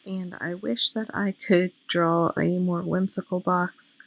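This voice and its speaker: A woman in her thirties narrates a crafting session in a relaxed, chatty voice-over. Her voice is hoarse, pitched a little low and full of gravelly fry. She explains each step as she goes and is quick to point out her own mistakes, recorded close and dry with no room sound. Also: dry recording, rough recording. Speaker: planner vlogger.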